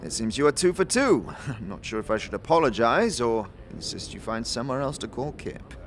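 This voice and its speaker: A man's voice: medium pitch, animated, fairly quick, a little hiss or hum, pitched above the performer's own voice, close to an everyday voice. A man voicing a genial, flamboyant, silver-tongued innkeeper in an audio drama, effusive and florid.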